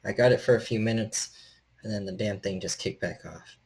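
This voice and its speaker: Man playing a hesitant, creaky-voiced lost handyman. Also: on the low side, fairly quick, a little mellow. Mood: weary, earnest. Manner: hesitant, stammering, friendly. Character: stocky, gentle, frightened lost handyman named Michael.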